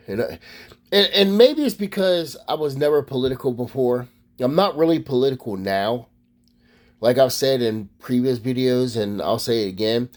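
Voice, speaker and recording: A man's voice: mid-range voice, darkish tone. A man from Maryland, USA, delivering a profane, rambling casual monologue as a vlogger.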